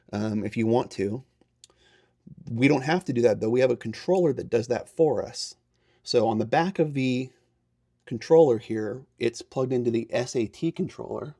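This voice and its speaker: A man's voice: medium pitch, mellow. A man giving a casual, step-by-step explanatory tour as an amateur radio operator, speaking in a plain, matter-of-fact way.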